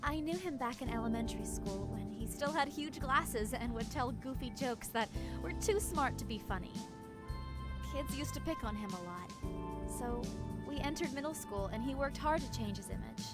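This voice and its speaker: A woman's voice: fairly high pitch, lively intonation, moderate pace, natural voice. A woman playing a cheerful, friendly young schoolgirl with some regrets in an anime-style visual novel game. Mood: reflective. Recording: loud music behind the voice.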